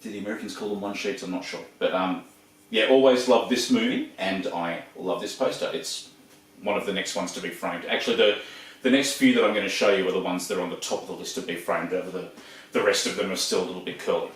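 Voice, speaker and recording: A man's voice: low voice, quick pace. A man in his forties with an Australian accent, chatting casually about his collection with lots of ums and restarts. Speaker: video host. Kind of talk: casual show-and-tell. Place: room full of shelves and posters. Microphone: camera across the room. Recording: echoey room, distant mic.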